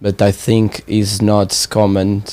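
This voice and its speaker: A man in his twenties with a Portuguese accent, speaking English as a second language in a casual conversation, halting and searching for words with many fillers. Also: fairly low pitch, monotone, dark tone. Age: 20s. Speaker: training participant.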